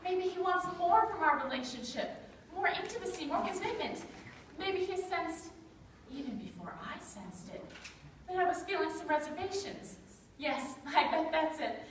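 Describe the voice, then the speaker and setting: Young woman as anxious romantic girlfriend, melodramatic stage delivery, very high voice, distant mic. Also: sing-song, moderate pace. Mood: fretful. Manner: earnest, melodramatic. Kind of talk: school readers' theater comedy. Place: school chapel stage before an audience. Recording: very echoey hall, distant mic, faint noise.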